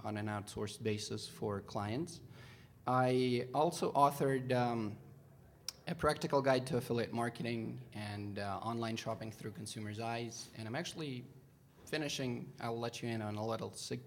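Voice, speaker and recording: A man's voice: mid-range voice, a little hiss or hum. A man whose first language is Russian, introducing himself on a conference panel with frequent hesitations. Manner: hesitant, many ums and uhs. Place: conference session room.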